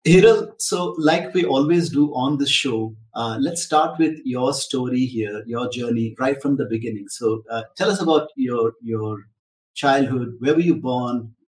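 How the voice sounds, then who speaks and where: A man's voice: medium pitch, measured pace, recorded from a distance. A man hosting a business podcast, who opens with a showman's welcome and then asks questions in a conversational way. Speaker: podcast host. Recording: roomy, from the mic, faint noise.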